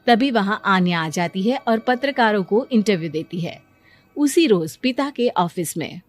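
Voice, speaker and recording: A woman's voice: mid-range voice, lively intonation, moderate pace. A woman giving scripted narration for a cartoon story. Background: music.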